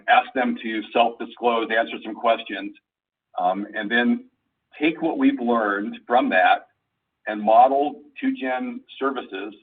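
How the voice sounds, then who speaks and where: A man giving an informal slide presentation over a conference call line, explaining things in a halting, deliberate way. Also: on the low side, husky, distant mic, phone-quality sound. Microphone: conference call phone line.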